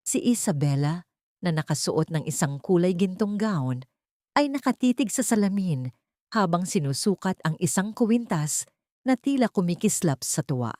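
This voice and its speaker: A woman's voice: fairly low pitch, expressive, moderate pace, mellow, dry recording, studio quality. A woman narrating a scripted audio story in a dramatic style.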